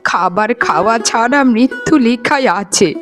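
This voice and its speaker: A woman's voice: a little high, lively intonation, normal speed, close to an everyday voice. A woman voicing a poor, humble, long-suffering old mother in a cartoon, plaintive in manner. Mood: sorrowful. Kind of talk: cartoon voice acting. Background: faint music.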